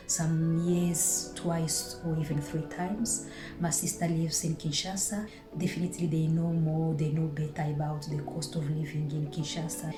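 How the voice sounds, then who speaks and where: A woman vlogger with a fairly low voice, whose first language is Swahili, talks in a relaxed, chatty way to her viewers. The room rings with echo, and clear music plays behind her. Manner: relaxed, chatty, with self-corrections. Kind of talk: casual talk to camera.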